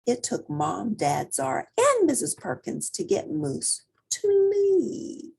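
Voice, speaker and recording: Very animated, slow-paced woman storyteller. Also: mid-range voice, gravelly, breathy edge. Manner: expressive, theatrical emphasis. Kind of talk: children's picture book read-aloud.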